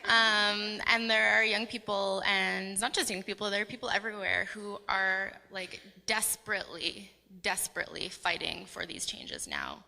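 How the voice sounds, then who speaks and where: A woman's voice: mid-range voice, lively intonation, normal speed, gravelly, few pauses, sharp tone. A young woman on a conference panel, speaking passionately and emphatically about advocacy. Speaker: youth panelist. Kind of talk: panel remarks. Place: large conference hall. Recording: hall reverb.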